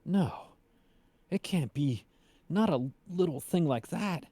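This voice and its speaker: A man's voice: a little high, expressive, slow, mellow, dry recording, pitched above the performer's own voice. A man voicing a horrified, guilt-stricken man who cries and pleads in a story reading. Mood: horrified disbelief. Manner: pleading, moaning, repetitive cries.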